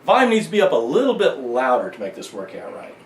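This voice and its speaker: A man's voice: medium pitch, wildly swooping pitch, quick pace. A man giving a casual hands-on music gear tutorial in a conversational, lightly wry way. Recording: echoey room, faint background noise.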